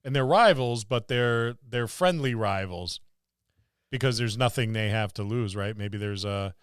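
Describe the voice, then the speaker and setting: A man's voice: fairly low pitch, unhurried, smooth, dry recording, studio quality. A man co-hosting a casual tabletop role-playing podcast, thinking aloud and repeating words for emphasis. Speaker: podcast co-host and experienced game master.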